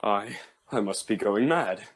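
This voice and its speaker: Man acting as low-voiced, proud Victorian inventor. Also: animated, unhurried. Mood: bewildered. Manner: formal, theatrical, self-pitying. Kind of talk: comic dub voice acting.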